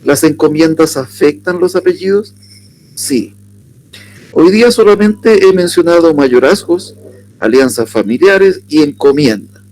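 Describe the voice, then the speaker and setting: A man's voice: on the high side, animated, darkish tone, rough recording. Chilean man lecturing, faint hum. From southern Chile. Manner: asks the audience questions, unhurried explaining. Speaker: workshop lecturer. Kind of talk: lecture on surnames.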